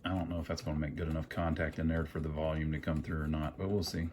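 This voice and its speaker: A man's voice: very deep voice, flat delivery, fast talker, a little mellow, slight background noise. A man casually thinking aloud as he narrates a hands-on repair, with a folksy, wry sense of humor. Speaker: amateur radio repairer and video host.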